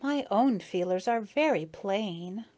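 A woman's voice: medium pitch, animated, slow pace, warm tone. A woman voicing a young, eager little female bee with a shy, enthusiastic manner.

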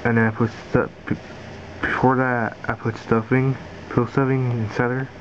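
A man giving a casual DIY tutorial in halting, repetitive, simple phrases, recorded indoors on a handheld camera's built-in mic. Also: medium pitch. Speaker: amateur maker demonstrating a homemade gadget. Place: carpeted room indoors. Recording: dull lo-fi camera audio, steady noise.

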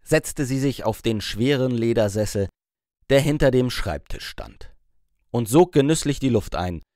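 A man narrating a scripted audiobook story in an expressive, measured way. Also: mid-range voice, dry recording, clean studio sound.

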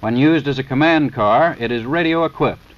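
An American man reading a formal 1930s scripted narration for a training film in a measured, matter-of-fact, instructional manner. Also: medium pitch. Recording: dull, lo-fi 1930s film soundtrack.

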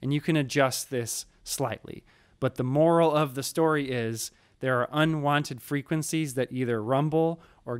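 A man in his thirties teaching audio editing in a relaxed, explanatory way, recorded on a studio microphone in a small home studio. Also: mid-range voice, clean tone. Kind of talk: screen-recorded tutorial. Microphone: studio mic in frame, wearing headphones.

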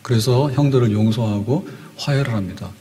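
A man's voice: medium pitch, a little fast, mellow. Older male pastor preaching in a reverberant hall. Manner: explanatory storytelling with rhetorical questions to the congregation. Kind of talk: sermon. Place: church hall, indoors at a pulpit. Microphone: podium microphone. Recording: long hall reverb, church sound system.

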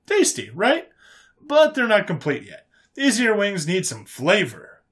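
Breezy human interrogator played by a male narrator, pitched up and swinging, folksy sci-fi storybook good guy. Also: unhurried, full character voice. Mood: folksy, enthusiastic. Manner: folksy, calm, drawling colloquial phrases.

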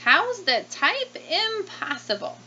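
Indignant storybook farmer acted by a woman, emphatic, incredulous, bright swooping delivery, faint noise, dull recording. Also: high voice, big pitch swings, slow pace, pitched above the performer's own voice, exaggerated voice. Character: exasperated, indignant male farmer in picture book.

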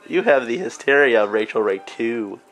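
A man's voice: mid-range voice, animated, moderate pace, fairly bright tone, soft background music, poor audio. Young man teasing while filming in kitchen. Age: young adult. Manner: teasing, wisecracking. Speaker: vlogger filming. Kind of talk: casual joking banter. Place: home kitchen, indoors. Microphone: handheld camera mic.

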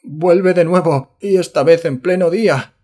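Guy doing a terrified villager in a horror audiobook, high broken voice, crying out breathlessly. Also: measured pace, dark tone. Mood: panicked. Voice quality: broken, gasping voice. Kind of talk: audiobook character acting.

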